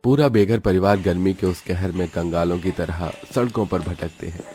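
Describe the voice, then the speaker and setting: A man's voice: low-pitched, moderate pace, warm tone. A man narrating a dramatic scripted story. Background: faint music.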